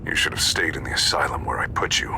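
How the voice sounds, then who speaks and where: A man playing an embittered, vengeful politician with a gravelly, whispery voice in a video game drama. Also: a bass voice, quick pace, noisy background. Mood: threatening. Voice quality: gravelly, breaking into hoarse whisper. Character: embittered, menacing politician, obsessed and vengeful former friend.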